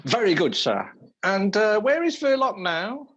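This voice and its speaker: An actor playing an earnest detective sergeant in a radio play, reporting deferentially to a superior. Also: male voice, high voice, huge pitch swings, unhurried, fairly bright tone, dull audio, full character voice. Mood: earnest, dutiful.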